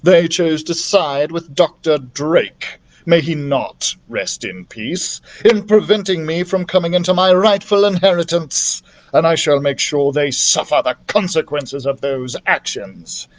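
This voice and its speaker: A man's voice: a little high, unhurried, close to an everyday voice. A man voicing a smug, pompous storybook villain with mock-polite menace, bent on revenge. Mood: gloating, menacing. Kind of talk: storybook character voice.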